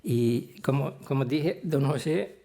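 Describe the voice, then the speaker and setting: An older man from the Philippines, a theology professor, giving a prepared talk of career anecdotes in a halting, hesitant way with frequent pauses. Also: mid-range voice, expressive, creaky, warm tone.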